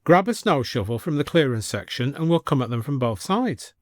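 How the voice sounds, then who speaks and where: Brisk village headmistress, voiced by a man. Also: medium pitch, very animated, no room sound, studio quality, pitched above the performer's own voice, natural voice.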